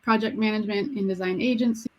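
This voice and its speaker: A woman with an even, flat-pitched voice speaking on a video call. She sums up her career in a brisk, matter-of-fact way. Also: muffled recording. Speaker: panelist. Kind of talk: panel introduction.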